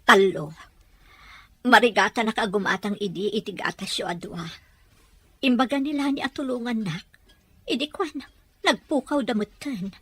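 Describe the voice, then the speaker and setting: A woman playing a tender, sorrowful mother with a husky voice and a Filipino accent in an audio drama. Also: a little high, moderate pace, long pauses, fairly bright tone, no echo. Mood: regretful. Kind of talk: Ilocano audio drama acting.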